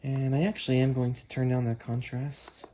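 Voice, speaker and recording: A man's voice: medium pitch, level tone, moderate pace, heavy background noise. A man hosting an unboxing video, talking casually as he thinks aloud while working the controls, recorded in a room at home. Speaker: host. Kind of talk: casual product demo narration.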